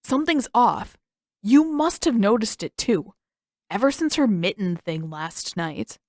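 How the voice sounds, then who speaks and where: A woman's voice: mid-range voice, animated, measured pace, a little mellow, no room sound, studio quality. A woman voicing an intense, suspicious teenage boy in an audiobook, emphatic and insistent. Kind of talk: acted audiobook dialogue.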